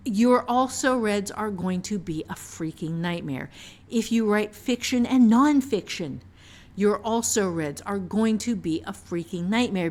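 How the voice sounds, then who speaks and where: A woman author and teacher explaining casually on a podcast, repeating phrases for emphasis. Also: medium pitch.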